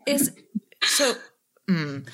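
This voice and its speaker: A woman's voice: fairly low pitch, very animated, extremely slow, sharp tone. A woman hosting a podcast in casual conversation, with a joking, dry wit. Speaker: podcast host. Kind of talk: casual chat.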